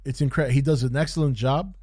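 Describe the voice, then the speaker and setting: A man's voice: medium pitch, fairly even intonation, darkish tone, slightly rough, dry recording. Man casually reviewing, dry close sound. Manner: halting, repeats phrases for emphasis. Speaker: podcast host reviewing TV shows. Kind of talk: casual unscripted review commentary.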